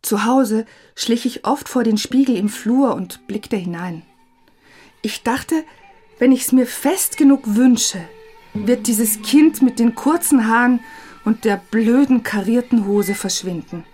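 A woman's voice: on the high side, takes it slowly, mellow, faint music behind. A woman reading a reflective, scripted first-person narration.

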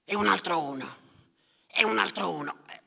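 An actor playing a self-pitying petty official, muttering and halting as he counts in a low gravelly voice in a stage monologue. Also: expressive, bright, forceful tone, big-room sound, full character voice. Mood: grumbling, peevish. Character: grumbling, self-pitying petty official tallying numbers in darkness. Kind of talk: theatrical monologue.

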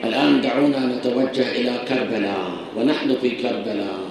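A man's voice: mid-range voice, steady tone, brisk, creaky, a crowd in the background. A man with an Iraqi Arabic accent preaching a sermon in a shrine, earnestly urging the listeners with rhetorical questions, his voice tired. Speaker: cleric preaching. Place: shrine hall. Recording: very echoey hall, faint noise.

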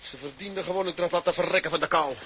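A man's voice: a little high, quick pace, a crowd in the background, natural voice. An actor playing an exasperated, defensive seaman in a Dutch period drama, protesting with indignation. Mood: indignant.

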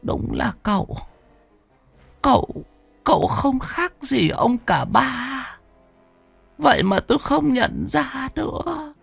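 A man's voice: extremely high pitch, very animated, takes it slowly, creaky, long pauses, a bit bright, slightly rough, no echo, soft background music, pitched above the performer's own voice, exaggerated voice. A man voicing a frail old woman who sells lottery tickets, speaking weakly with a stammer and on the verge of tears. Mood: tearful. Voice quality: weak, trembling, stammering.

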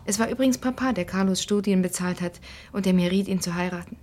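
An actress playing an anxious, earnest married daughter of a criminal, confiding and breathy, in a German radio crime drama. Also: female voice, mid-range voice, quick pace, a little mellow, lightly acted.

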